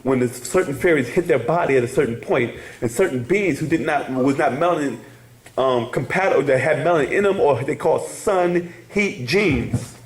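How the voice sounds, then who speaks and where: A man's voice: mid-range voice, moderate pace, roomy sound, slight background noise, poor audio. A man teaching a casual class, rambling and laughing, often asking "you hear me?". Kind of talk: casual spoken class lecture.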